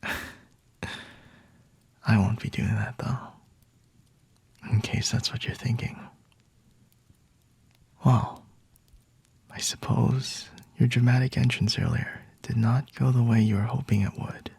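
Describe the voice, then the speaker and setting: A man performs a flirty, condescending male vampire holding a captive, in intimate ASMR style. The voice is very breathy and gravelly, slipping often into whispers. He speaks in refined, teasing phrases with slight room echo around him. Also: mid-range voice, steady tone, takes it slowly, long pauses, a little mellow, full character voice. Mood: smug, seductive.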